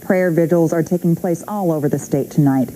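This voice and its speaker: A woman's voice: low-pitched, fairly quick, dark tone, slight background noise, poor audio. An American woman anchoring a TV newscast with scripted delivery. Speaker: news anchor.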